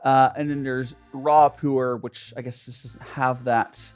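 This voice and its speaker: A man's voice: mid-range voice, flat delivery, measured pace, smooth, soft background music. A young man from Southern California with a Southern Californian accent, chatting casually with viewers while drinking tea, rambling and self-mocking. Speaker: streamer hosting a tea chat. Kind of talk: casual livestream chat with viewers.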